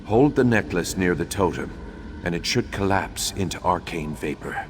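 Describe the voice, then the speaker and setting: An actor playing a grave, remorseful undead imperial battle mage in a video game, speaking in a formal, measured, solemn way. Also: male voice, deep voice, lively intonation. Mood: dry, grave. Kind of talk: dramatic video game dialogue. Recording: long hollow reverb, faint background noise.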